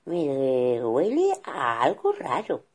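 A woman's voice: very deep voice, huge pitch swings, takes it slowly, breathy edge, full character voice. A woman voicing a kind mama bear who coaxes and soothes. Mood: puzzled. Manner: coaxing, gently firm.

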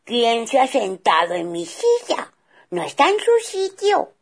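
A big papa bear blusters in loud indignation, as a woman puts him on. The voice stays breathy and lively. It sounds like a children's storybook reading. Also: lowish voice, a little slow, voice pushed up, exaggerated voice. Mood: angry. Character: big papa bear, gruff and easily angered.

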